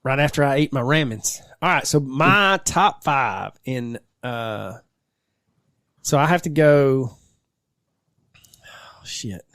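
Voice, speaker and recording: A man's voice: medium pitch, slow pace, pauses often, a bit bright, no echo. A man co-hosting a podcast in casual, playful, teasing conversation. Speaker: podcast co-host. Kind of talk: casual banter.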